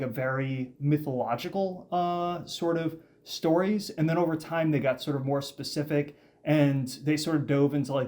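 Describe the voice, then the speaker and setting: A man co-hosting a casual podcast, talking excitedly and rambling with frequent restarts and fillers. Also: medium pitch. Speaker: podcast co-host. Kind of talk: casual chat.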